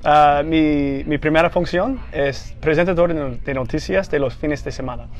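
Casting: young American anchor, English native, fumbling through learner Spanish, slightly high voice, nervous laughs, lapel mic in studio. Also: male voice. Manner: halting, searching for words with restarts. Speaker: news anchor guest. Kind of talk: casual studio interview. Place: small TV studio, seated on couch.

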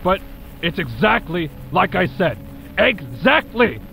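An actor playing an excitable, self-vindicated broadcaster in an audio drama, folksy, emphatic and repeating himself. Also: male voice, sits high, slow pace, creaky, a little hiss or hum, full character voice, poor audio. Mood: vindicated, agitated.

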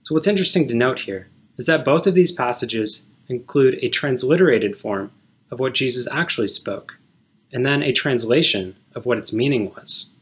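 A man in his thirties giving a measured, scripted short sermon in a small indoor room. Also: medium pitch. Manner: measured, explanatory, reads scripture aloud.

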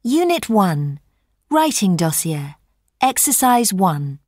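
A woman narrating English textbook audio, reading exercise numbers and questions in a measured, careful way. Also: medium pitch, big pitch swings, a little mellow, no echo, clean studio sound. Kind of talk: scripted exercise announcements.

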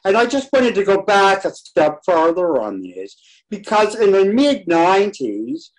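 Higher-pitched man moderating online. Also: big pitch swings, measured pace, poor audio. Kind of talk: informal panel moderating. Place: indoor room, video call. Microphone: video call microphone.

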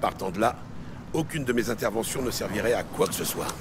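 An actor playing a cold, calculating corrupt cop in an audio series, dry, sardonic and unyielding. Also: male voice, fairly low pitch, expressive, fast talker, noisy background, natural voice. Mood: dismissive, defiant. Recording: very loud background music.

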